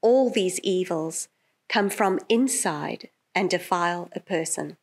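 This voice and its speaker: Middle-aged woman, unhurried and emphatic, reading scripture aloud in church. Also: fairly low pitch. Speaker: pastoral carer, Bible reader. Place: indoor chapel at a lectern. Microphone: gooseneck lectern microphone.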